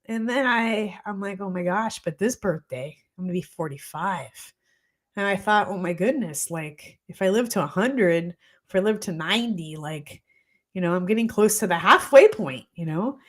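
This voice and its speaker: A woman's voice: mid-range voice, lively intonation, normal speed. A woman in her forties, a holistic practitioner, chatting on a live stream in a reflective way with a slightly congested voice. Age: 40s. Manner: chatty and reflective, many fillers. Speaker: holistic practitioner hosting a weekly live show. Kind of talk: casual live-stream talk, greeting viewers. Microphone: streaming mic.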